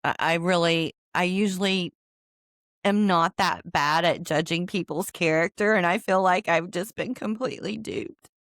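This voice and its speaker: Nasal woman co-host, deep voice, still a bit sick, dry close sound. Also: moderate pace, fairly bright tone, no room sound. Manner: easygoing, agreeable, frequent mm-hmms. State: getting over being sick, coughing. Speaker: podcast co-host. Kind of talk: casual chat.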